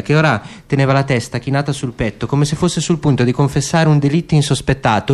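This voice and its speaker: A man's voice: medium pitch, darkish tone. A man giving a dramatic literary reading as a narrator in radio theatre. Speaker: narrator, actor.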